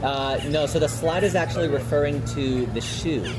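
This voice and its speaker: A man co-hosting a theme park review in a casual, halting, hedging way. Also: a little high, very noisy. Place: outdoors in a busy shopping district. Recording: loud music behind the voice.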